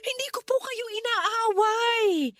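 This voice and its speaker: A woman's voice: very high-pitched, takes it slowly, a bit bright, faint music behind, heavily acted. A Filipino woman playing a respectful, aggrieved young mother in a radio drama, pleading politely while standing her ground. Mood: hurt pleading.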